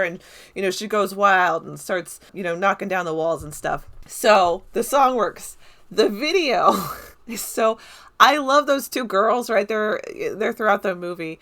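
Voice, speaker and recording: A woman's voice: medium pitch, a bit bright. A woman giving casual, unscripted film commentary in a rambling, enthusiastic, conversational way. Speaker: video essayist reviewing a film.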